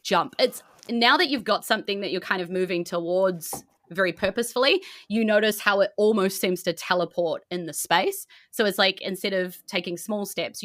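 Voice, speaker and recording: A woman's voice: on the low side, a bit bright, dry recording. A woman improvising vivid, informal narration as the game master of a tabletop game.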